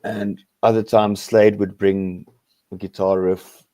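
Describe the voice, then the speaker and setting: South african rock musician guy, afrikaans first language, lowish voice, dry close sound. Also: flat delivery, mellow, dry recording. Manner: halting, many fillers and restarts, laughs. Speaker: rock band musician, guest. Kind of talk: casual interview chat.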